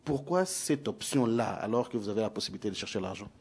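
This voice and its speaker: A male TV host with a low, mellow voice and a slightly husky edge. He speaks formally, turning often to address the viewers directly. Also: animated, fast talker. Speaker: TV interview host. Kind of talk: formal TV interview.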